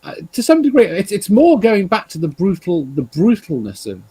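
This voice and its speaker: A man with an English accent in casual, rambling discussion, halting and repeating himself, swearing freely. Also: sits high, wildly swooping pitch, dark tone, husky, no room sound. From England. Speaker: talk show participant.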